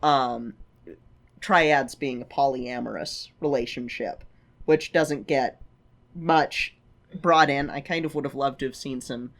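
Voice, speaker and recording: A podcast co-host chatting casually about a film, halting and often circling back to rephrase mid-thought. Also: mid-range voice, fairly even intonation, fairly bright tone. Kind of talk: casual chat, film discussion.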